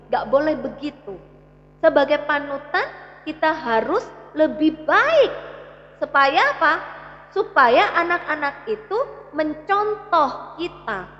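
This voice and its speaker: High, animated East Javanese Indonesian woman teacher. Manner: didactic, emphatic, addressing listeners directly with rhetorical questions. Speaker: Islamic parenting speaker. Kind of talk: religious parenting talk to parents. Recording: echoey hall, slightly muffled sound.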